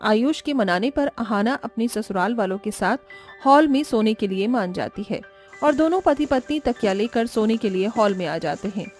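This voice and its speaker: Female storyteller for scripted family tales, high voice, soft music bed. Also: lively intonation, normal speed.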